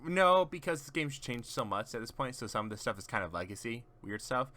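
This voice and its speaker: A man's voice: medium pitch, big pitch swings, fairly quick, sharp tone. A man casually chatting on a gaming stream, giving rambling, teasing explanations to a friend as he shows off game characters.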